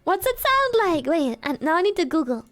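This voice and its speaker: A woman's voice: very high voice, very animated, normal speed, fairly bright tone, soft background music. A woman streamer chatting casually with her viewers, giggly and playful, her throat sore and dry. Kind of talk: casual live-stream chat.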